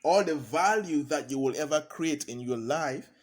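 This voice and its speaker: A man's voice: fairly high pitch, expressive, moderate pace. A man, a consultant giving a motivational talk, hammering points home by repeating key phrases. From Cameroon.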